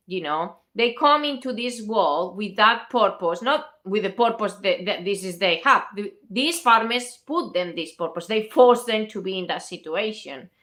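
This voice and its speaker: A woman's voice: a little high, sharp tone. A woman from Spain with a Spanish accent, arguing insistently in a heated debate and often talking over the other person. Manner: insistent, interrupting, laughs often. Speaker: vegan activist host.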